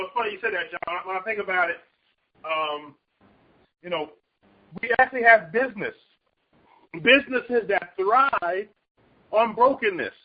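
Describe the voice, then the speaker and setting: A man's voice: high voice, slightly rough, lo-fi sound. A man explaining a Bible passage in an earnest, conversational teaching style, with rising energy when he drives a point home.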